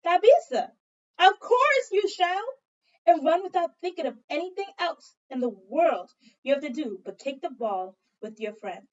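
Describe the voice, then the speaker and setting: A woman teacher doing an expressive read-aloud for children, telling the story dramatically and prompting listeners with questions. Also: extremely high pitch, normal speed. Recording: dull lo-fi sound, distant from mic.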